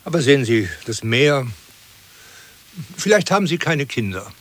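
An actor playing an earnest, pedantic gentleman who talks politely and qualifies himself, in an absurd comic radio play. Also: mid-range voice, very animated, moderate pace. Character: earnest, pedantic gentleman praising country life.

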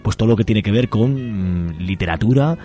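A man's voice: fairly low pitch, expressive, normal speed, dark tone. A man with an accent from Spain chatting casually as co-host of a radio show.

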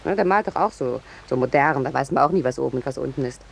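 An actress playing a pushy, self-important female war correspondent in a 1950s German radio play, talking in a brisk, insistent manner. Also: extremely low pitch, vocal fry, breathy edge, full character voice. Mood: dismissive, scheming. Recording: noisy 1950s radio drama recording.

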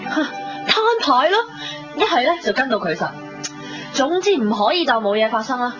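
A woman's voice: a little high, moderate pace, lightly acted. An actress playing a frank, worldly, teasing woman in a Hong Kong Cantonese radio drama, nagging with sighs and slang. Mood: blunt, exasperated. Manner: blunt, sighing, animated nagging with slang. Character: frank, worldly, teasing female friend scolding and helping. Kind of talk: 1990s radio drama acting. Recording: dull recording with music behind.

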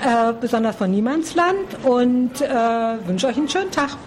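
A woman's voice: a little high, lively intonation, normal speed, slight background noise. A woman from Hamburg giving an informal greeting to radio listeners. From Hamburg, Germany. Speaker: visiting listener sending greetings.

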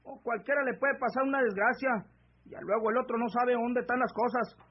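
An actor playing a scheming, greedy husband from the countryside, with a rural northern Mexican accent and an insistent, rustic way of talking, in an old radio serial. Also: male voice, very high voice, fairly even intonation, a little fast, husky. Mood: pushy, aggrieved. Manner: rustic, insistent, pressing speech. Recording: dull vintage AM radio drama recording.

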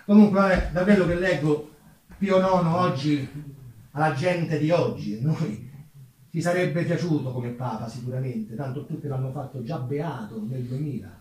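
A man's voice: on the high side, moderate pace, a little mellow. A man with a Roman Italian accent tells history theatrically to an audience, with colloquial, ironic asides. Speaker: stage narrator, solo theatre performer. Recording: very echoey room, distant microphone.

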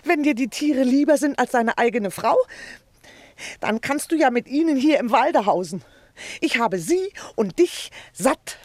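An actress in a radio play performing a big, hot-tempered wife from ancient times who nags and complains in an aggrieved, theatrical way. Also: female voice, high-pitched, expressive, unhurried, a little mellow, no echo. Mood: exasperated, scolding. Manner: scolding, aggrieved, plaintive.

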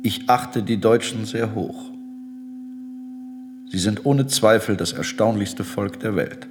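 A man acting in a German radio drama as a suave, powerful minister, polite, deliberate and formal. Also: lowish voice, noisy background. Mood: smoothly courteous. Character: suave, courteous, powerful Ottoman war minister. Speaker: actor in a radio play.

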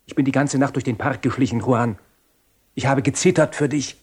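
A man's voice: medium pitch, steady tone, brisk, husky, close to an everyday voice. A man playing a loyal, anxious young friend in a German radio drama, urgent and pleading in a theatrical way. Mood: urgent warning.

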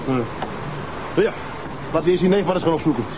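An actor playing a calm middle-aged police detective who questions people in a measured way, in a Dutch TV drama. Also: male voice, a little high, heavy background noise, lightly acted. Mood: pondering.

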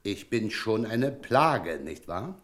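Breezy, jovial visiting auditor. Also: male voice, lowish voice, sing-song, slow pace, fairly bright tone, full character voice. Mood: affable. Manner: chatty, ingratiating, jokey. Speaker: actor. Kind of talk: radio play acting.

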